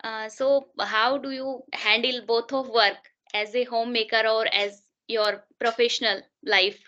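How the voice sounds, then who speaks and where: Woman guest, bright and slightly high, hesitant, muffled call. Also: steady tone, unhurried. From Rajasthan, India. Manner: hesitant, polite, says ma'am often. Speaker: guest, homemaker. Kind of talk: conversation practice.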